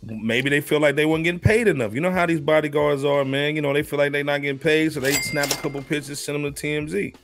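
A man's voice: on the high side, fairly even intonation, fast, few pauses, music in the background. An American man hosting a podcast in casual, slangy chat. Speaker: podcast host. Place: indoor room on a video call.

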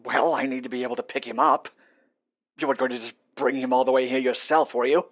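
A man voicing a nervous, scheming male doctor who talks in hesitant, rushed bursts. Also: medium pitch, fairly even intonation, lo-fi sound, lightly acted.

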